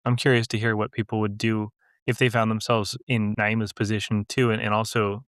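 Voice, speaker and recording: Man reading fiction, measured and dry. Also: lowish voice, little pitch movement, fairly bright tone, no echo. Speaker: podcast co-host reading his fiction. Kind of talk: literary reading and discussion.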